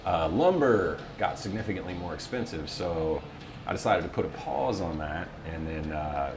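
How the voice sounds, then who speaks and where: Very deep-voiced American man, wryly self-deprecating. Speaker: interview guest, office voiceover talent. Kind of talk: casual interview chat.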